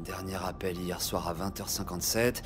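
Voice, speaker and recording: An actor playing a diligent, wry junior detective in a French audio drama, with a casual way of talking. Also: male voice, deep voice, fairly even intonation, normal speed, music in the background, lightly acted. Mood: businesslike, eager.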